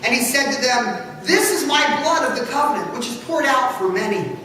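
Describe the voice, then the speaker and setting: A woman reading scripture aloud in church, solemn and deliberate, with dramatic phrasing. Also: sits high, lively intonation, pushed and bright. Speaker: scripture reader. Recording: echoey hall from far off, faint noise.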